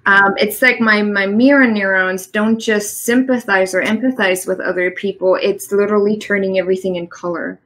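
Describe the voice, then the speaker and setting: A woman's voice: mid-range voice, fairly even intonation, moderate pace, few pauses, a bit bright. A woman from East Germany who speaks English with a German accent, a guest who talks in an earnest, reflective way with frequent fillers and laughs. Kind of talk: conversational interview. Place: home in the Midwest. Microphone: online live stream.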